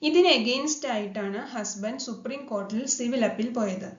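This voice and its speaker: A woman's voice: on the high side, moderate pace. A Malayali woman presenting a scripted legal explainer in a formal manner, mixing in English legal terms. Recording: dull lo-fi sound, echoey room.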